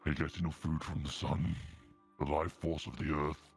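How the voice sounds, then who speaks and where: An extremely low-voiced, gruff plant creature of the swamp in a game roleplay. He is irritable and deliberate, a solitary guardian who wants to be left alone. Faint music plays behind him. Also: little pitch movement. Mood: grumpy, exasperated. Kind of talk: Minecraft roleplay acting.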